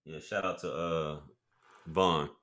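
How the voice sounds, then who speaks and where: A man with a deep voice hosting a casual livestream, reading chat comments and talking loosely with profanity and laughing asides. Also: fairly even intonation, slow pace, sharp tone.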